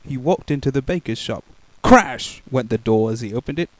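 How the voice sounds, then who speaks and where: A man reading a children's picture book aloud in a playful, expressive way. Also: medium pitch, moderate pace, no room sound, low-quality recording. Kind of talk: animated storybook read-aloud.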